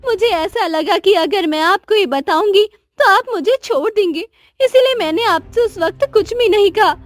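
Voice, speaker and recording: A woman's voice: extremely high pitch, moderate pace, no echo. A woman voicing a remorseful young queen and anxious mother in a cartoon story, speaking in an earnest, pleading, dramatic way. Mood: tearful remorse. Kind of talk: Hindi cartoon story dialogue.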